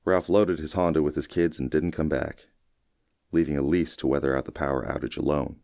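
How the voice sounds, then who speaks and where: A man with an extremely low voice narrates a short story in the first person. His delivery is measured and folksy, like scripted storytelling for an audiobook. The recording is dry and close, with no room sound. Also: fairly even intonation, creaky, darkish tone, dry recording.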